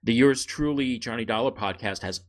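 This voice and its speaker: A man co-hosting a casual podcast, chatting in a halting, joking way. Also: mid-range voice. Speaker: podcast co-host. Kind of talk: casual conversational podcast chat.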